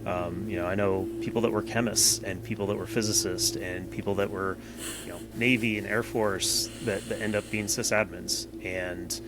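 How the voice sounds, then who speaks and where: A man's voice: lowish voice, fairly even intonation, moderate pace. A man co-hosting a podcast, talking casually and rambling, with frequent restarts and "you know.". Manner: rambling, repeats words, says "you know" a lot. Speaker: podcast co-host. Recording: loud background noise.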